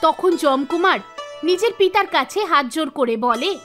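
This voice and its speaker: A woman narrating an animated folk tale in a scripted storytelling style. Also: high-pitched, a little slow. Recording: music under the voice. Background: background music.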